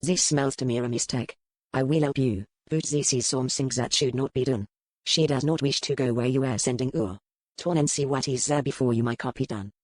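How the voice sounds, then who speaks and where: A man playing a smooth, probing French merchant with a French accent in a historical romance audiobook. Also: mid-range voice, a little fast, darkish tone, dry recording, lightly acted. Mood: insistent, disapproving. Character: suave, probing French merchant in colonial port. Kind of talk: dramatised audiobook dialogue.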